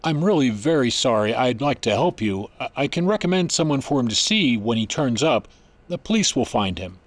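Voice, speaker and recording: Evasive male scientist-doctor played by an actor, clinical and formal, mid-pitched, for audio drama. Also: very animated, normal speed, no echo. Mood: polite, guarded. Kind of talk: dramatic audio fiction acting.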